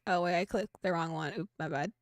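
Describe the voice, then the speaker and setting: A woman's voice: on the low side, a little fast, dry recording, clean studio sound. Woman streamer gasping and swearing. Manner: swearing, laughing reactions. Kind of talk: gaming stream commentary.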